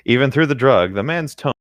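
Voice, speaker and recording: A man reading a story aloud for a comedy podcast as co-host, in an amused, expressive way. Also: mid-range voice, no echo, studio quality.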